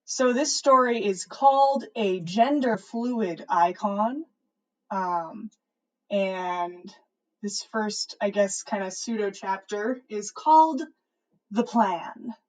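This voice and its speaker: A woman's voice: medium pitch, slow pace, poor audio. A woman reading a story aloud as a podcast host on a livestream. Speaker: podcast host reading listener fan fiction.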